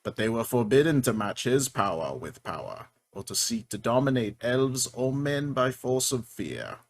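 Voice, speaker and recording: An actor playing a solemn storyteller of ancient legend, narrating a script portentously and dramatically. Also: male voice, mid-range voice, sing-song, moderate pace, no echo. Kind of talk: scripted narration at a table read.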